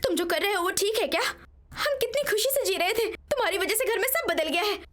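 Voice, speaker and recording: A woman's voice: very high voice, lively intonation, quick pace. A woman playing a caring young daughter in a film drama, reasoning and pleading earnestly with her family. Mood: reproachful. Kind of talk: melodramatic dubbed film drama. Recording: loud film music behind the voice. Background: music.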